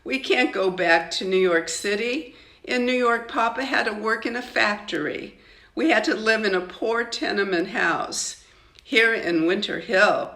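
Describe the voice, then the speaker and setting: An older woman voicing a protective, sharp-tongued immigrant mother from a storybook, firm and emphatic. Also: medium pitch, pitched above the performer's own voice. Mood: firm, reasoning. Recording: roomy, echoing home recording.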